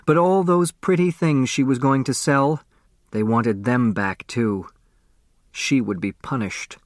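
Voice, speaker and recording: A man's voice: mid-range voice, dry recording, clean studio sound. A man reading a story aloud as a scripted audiobook narration.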